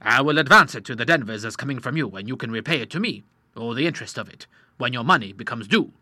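Brief: calm fatherly doctor, male narrator's own voice, bright and dry. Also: medium pitch, fast, dry recording. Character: kindly, steady middle-aged male doctor.

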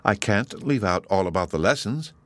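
A man voicing a young girl who tells a storybook tale in a patient, gentle, slightly sly manner. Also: on the low side, expressive, moderate pace, no room sound. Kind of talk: storybook character voice in audiobook reading.

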